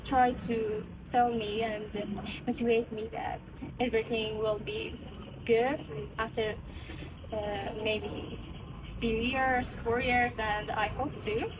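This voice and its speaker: Teen girl interviewee, hesitant English, set back from the mic with steady noise. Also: a little high, slow pace. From Afghanistan. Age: teens. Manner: hesitant, many fillers and restarts. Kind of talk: casual interview answers. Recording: distant mic with clear background noise.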